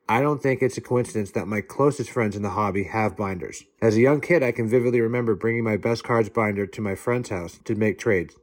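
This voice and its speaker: A man giving a prepared guest segment, earnest and steady, reading from notes. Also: fairly low pitch. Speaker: guest contributor. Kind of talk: prepared spoken submission.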